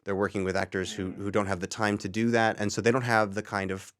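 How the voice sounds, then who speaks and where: Male interviewee, effusive creative type, quick delivery on the lower side, close dry sound. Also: level tone, a bit bright, no room sound. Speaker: audio drama creator being interviewed. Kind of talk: casual, animated group interview chat.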